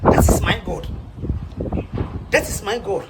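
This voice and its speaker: A woman's voice: medium pitch, unhurried. A woman with a Ghanaian accent preaching, firing off repeated rhetorical questions and laughing between them. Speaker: street preacher. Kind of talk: preaching to listeners. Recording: noisy ambient background.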